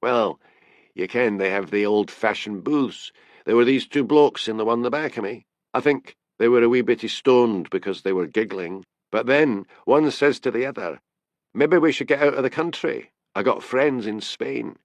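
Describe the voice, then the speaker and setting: A man's voice: moderate pace, no room sound, close to an everyday voice. Man putting on a shifty, eager Scottish informant. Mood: excited, conspiratorial. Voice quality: deep. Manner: excited, matey, colloquial.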